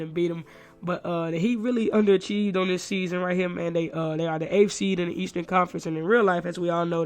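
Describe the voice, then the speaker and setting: A congested young man, under the weather, talking through basketball game highlights. He speaks quickly in a fairly high voice. He is loose and casual and says "man" at nearly every pause. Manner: fast, loose talk, says "man" constantly. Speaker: gaming YouTuber.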